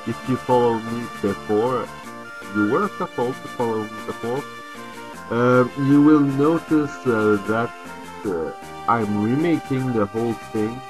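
A man's voice: mid-range voice, poor audio. A native French speaker, a man with a French accent, gives casual, hesitant game commentary. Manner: hesitant, halting delivery. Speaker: let's play host. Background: video game music.

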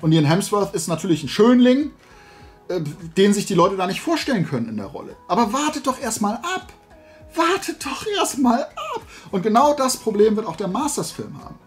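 A middle-aged man hosting a casual, opinionated talk show, speaking colloquially in an animated ranting manner. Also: sits high, brisk, faint music behind. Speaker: host. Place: small room at home, indoors, at a desk.

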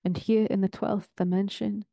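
A woman leading a guided meditation in a soothing, deliberate, ceremonial way, with long pauses between phrases. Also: medium pitch, little pitch movement, vocal fry, mellow, no echo.

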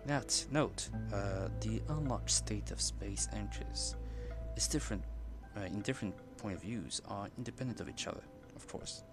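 Low-voiced man streaming over loud music. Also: lively intonation, moderate pace. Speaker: game livestreamer. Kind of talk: casual gameplay commentary, reading on-screen text aloud. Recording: loud game music behind the voice.

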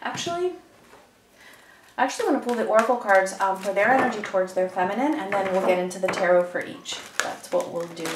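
A woman's voice: mid-range voice, quick pace, far from the mic, background noise. A woman with a stuffy cold giving a chatty, meandering tarot reading full of ums, in a room at a table. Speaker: tarot reader. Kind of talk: casual tarot reading to camera. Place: indoors at a table, room with echo. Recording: echoey room, mic set back.

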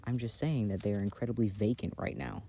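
A man doing a casual, intimate ASMR boyfriend roleplay in a soft-spoken, playful way, recorded outdoors. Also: fairly low pitch, steady tone, fast talker. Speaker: audio roleplay performer playing a boyfriend. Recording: outdoor recording with background noise. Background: outdoor ambience and noise.

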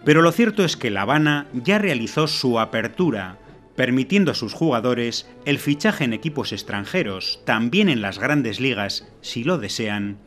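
A man reading a scripted news commentary in a measured, declarative way. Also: medium pitch, fairly bright tone. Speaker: news narrator.

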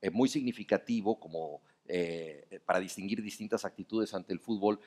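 A man's voice: low voice, moderate pace, a little mellow. A middle-aged Mexican man on a theater stage, telling long, flowing anecdotes in an onstage conversation. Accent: Mexican Spanish. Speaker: festival panelist, writer. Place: dark theater stage, seated in armchairs.

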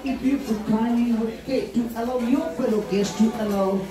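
An announcer making a courteous, formal public address to guests, heard over loudspeakers. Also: mid-range voice, normal speed. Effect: public address speaker. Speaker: ship announcer. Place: large cruise ship dining hall. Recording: echoey PA announcement from far off, crowd. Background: crowd chatter.

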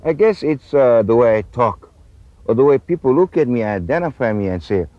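A middle-aged man from Hawaii, speaking slowly and earnestly in a documentary interview outdoors among trees. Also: mid-range voice, expressive, no room sound. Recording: dull, lo-fi 1980s video sound.

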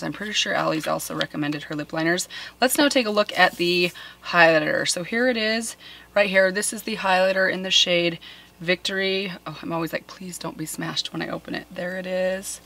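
Thirties female beauty vlogger, slightly low voice roughened by a cold, casual and enthusiastic. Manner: chatty, enthusiastic, drops to soft murmurs when reacting. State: has a cold, voice deeper than usual. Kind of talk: casual makeup review to camera. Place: small indoor filming set with plants.

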